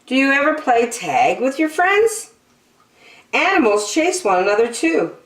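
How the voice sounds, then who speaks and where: A woman's voice: sits high, sing-song, a little slow, reverberant. A woman hosting story time, reading a picture book aloud to young children expressively, with chatty asides and questions.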